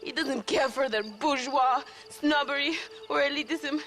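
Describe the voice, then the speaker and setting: Young Mexican peasant rebel, high voice, fervent, devoted, melodramatic, music behind, game cutscene. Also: female voice, measured pace, a bit bright. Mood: lovelorn, proud. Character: young Mexican peasant revolutionary woman, earnest, romantic, devoted. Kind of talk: video game cutscene acting.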